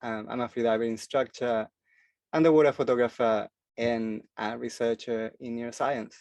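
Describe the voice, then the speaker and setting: A man's voice: medium pitch, fairly even intonation, moderate pace, darkish tone, dry recording. A man from Chile, giving a presentation as a freediving instructor and speaking hesitantly with many filler sounds. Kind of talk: forum presentation talk.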